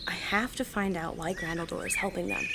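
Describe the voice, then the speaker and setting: A woman's voice: lowish voice, brisk, very noisy, close to an everyday voice. A woman playing a melodramatic, intense teenage girl larper in a fantasy adventure audio drama. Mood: hushed, secretive. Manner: theatrical, dramatic. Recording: loud music behind the voice.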